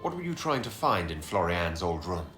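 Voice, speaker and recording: Game lead: authoritative inquisitor, lowish voice, probing and firm, roomy sound with faint music. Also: male voice, very animated, moderate pace, a bit bright. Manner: direct, questioning, authoritative. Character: commanding male inquisitor, resolute hero and investigator. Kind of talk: video game cutscene dialogue.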